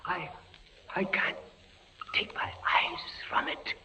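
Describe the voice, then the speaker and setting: An actor playing a terrified, feverish prisoner, a captain condemned to torture, with intense theatrical 1940s radio delivery. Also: male voice, slow pace, in a whisper. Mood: hushed dread. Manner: intense, theatrical, breathy hushed dread breaking into cries. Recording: muffled, noisy old radio recording.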